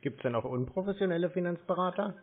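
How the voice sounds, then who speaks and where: A man taking a phone call from a scammer, asking dry, deadpan questions. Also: sits high, fast talker. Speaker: call recipient baiting a scammer. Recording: tinny phone line.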